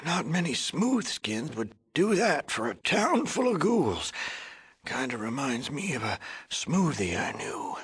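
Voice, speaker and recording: Crusty old ghoul for a game, super raspy and sharp, folksy joker, voice jumps all over. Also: male voice, on the high side, huge pitch swings, slow pace, full character voice. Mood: rambling, folksy. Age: older. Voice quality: raspy, wheezy, cracked old voice. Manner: folksy drawl, wisecracking, wheezy cackles and coughs. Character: cranky, joking old male ghoul running a town. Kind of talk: video game character acting.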